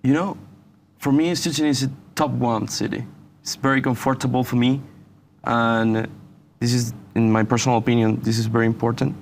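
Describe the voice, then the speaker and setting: A young man from Venezuela, a university student answering interview questions in halting English and saying "you know" often. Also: medium pitch, fairly even intonation, gravelly, slight background noise. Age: young adult. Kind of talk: casual interview.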